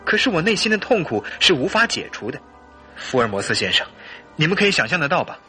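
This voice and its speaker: An actor playing a suspicious, anguished husband in a radio drama, with a husky, earnest and theatrical delivery. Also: male voice, fairly high pitch, very animated, quick pace, sharp tone, faint music behind. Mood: troubled. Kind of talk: Mandarin radio drama acting and storytelling.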